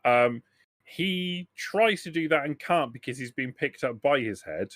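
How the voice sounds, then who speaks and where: A man running a tabletop roleplaying game, improvising narration in a halting way, often repeating and rephrasing his words. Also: mid-range voice, expressive, fairly bright tone, no echo, clean studio sound. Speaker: game master.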